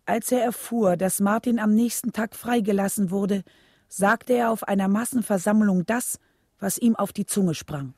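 Eine Schauspielerin spielt in einem Hörspiel eine nachdenkliche Mutter, die ernst und gemessen aus ihrem Leben erzählt. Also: female voice, medium pitch, mellow, dry recording, clean studio sound, close to an everyday voice. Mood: serious, reflective. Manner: measured, serious. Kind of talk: scripted radio drama narration.

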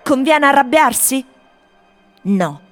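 An actress voicing tourists who recount their stay in Rome, in a scripted radio theatre monologue. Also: female voice, sits high, expressive, takes it slowly, over a noisy crowd. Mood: complaining. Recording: music under the voice. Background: music.

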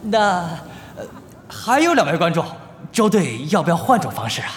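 A man's voice: sits high, huge pitch swings, moderate pace, slight background noise. A man playing a flustered young event host in a radio drama, polite and coaxing, with hesitant announcing. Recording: echoey arena reverb over loud music.

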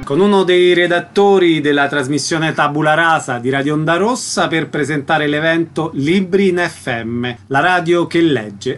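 A man's voice: fairly high pitch, a bit bright. A man hosting a radio interview, announcing events and putting questions to guests.

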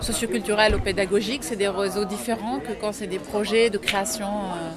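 A woman from Lebanon, an actress and drama teacher, answering interview questions in a relaxed, thoughtful way with many small hesitations. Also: mid-range voice, brisk, music in the background. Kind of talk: casual interview in a café. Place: busy café or restaurant. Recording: noisy crowded café.

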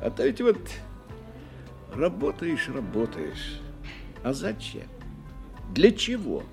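Blunt, earthy male drinking companion, skeptical, highish voice with lively pitch swings, radio drama with music. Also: slow pace, full character voice. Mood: bluff, musing. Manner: colloquial, gruffly familiar, interjecting. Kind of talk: 1990 radio play acting.

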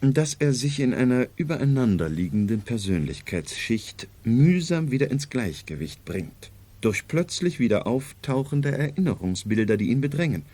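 A learned male psychiatrist in a 1970s radio drama. He lectures formally and pedantically in a husky, mellow voice. His pitch swings widely as he explains things with great self-assurance. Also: big pitch swings, normal speed. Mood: clinical, absorbed.

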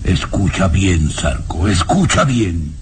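A man's voice: low-pitched, expressive, takes it slowly, a little hiss or hum. A man playing a vengeful old nobleman villain in a radio serial, brooding and sinister in manner.

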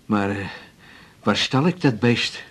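Husky, low-voiced old male patient. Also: expressive, fairly bright tone, heavily acted. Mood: puzzled, amiable. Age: older. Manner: homely, hesitant, halting. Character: old male patient, breathless, stubborn but amiable. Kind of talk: radio drama acting.

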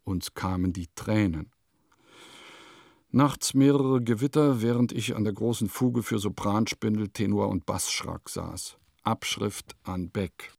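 A man's voice: fairly low pitch, measured pace, gravelly, a little mellow, no echo, clean studio sound. A man playing a solemn, self-important composer reading from his journal in a formal, pompous way for a radio play. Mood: solemn, proud. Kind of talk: diary read as scripted radio-play narration.

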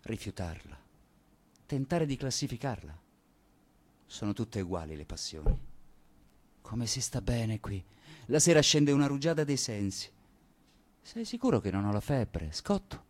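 A man's voice: medium pitch, sing-song, a little slow, long pauses, slight background noise. An actor playing a yearning, sensual man confiding in his male friend, in a lyrical, intense radio play manner. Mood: yearning, hushed. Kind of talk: theatrical radio play acting.

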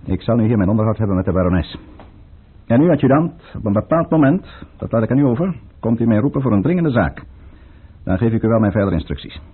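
An actor playing a cold, scheming Russian count in a Dutch radio play, theatrical and insinuating. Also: male voice, fairly low pitch, animated, quick pace, husky. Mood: commanding, sly. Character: scheming Russian count, commanding, cold, silky courtly conspirator. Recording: muffled, band-limited old radio recording.

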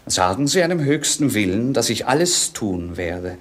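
An actor playing a bored, witty young prince in a 1950s radio play, with a mannered, mocking stage delivery. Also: male voice, on the low side, sing-song, normal speed. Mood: ironic, languid.

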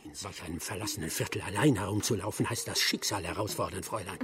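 An actor playing a self-assured former swindler turned official in a radio play, speaking in a brisk, assertive way. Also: male voice, sits low, crowd noise, natural voice. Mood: brisk, courteous. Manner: brisk, assertive, formally courteous to strangers.

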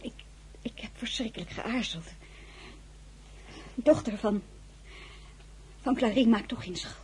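An actress in a radio drama playing a determined crown princess with a dignified, probing and commanding manner. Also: female voice, on the high side, takes it slowly, darkish tone, slight background noise. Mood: faltering, subdued. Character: determined, imperious crown princess, a merchant's daughter. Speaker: actor.

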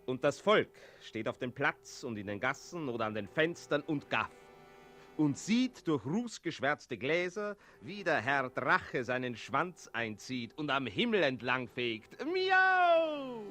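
Sly cat with lively intonation. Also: male voice, fairly high pitch, faint music behind, heavily acted. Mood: wry, sly. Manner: wry, unhurried storytelling with meows. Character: sly, wry male cat commenting on the townsfolk. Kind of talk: 1960s German radio play narration.

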